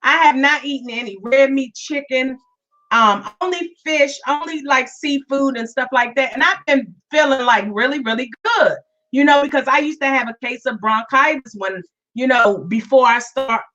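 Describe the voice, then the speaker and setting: Woman, live-stream host, voice worn from illness, bright tone, slightly distant muffled recording. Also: sits high, moderate pace. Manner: chatty, repeats herself, greets viewers by name. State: voice still weak from illness. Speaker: podcast host. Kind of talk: casual live-stream chat. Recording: dull lo-fi sound, a bit far.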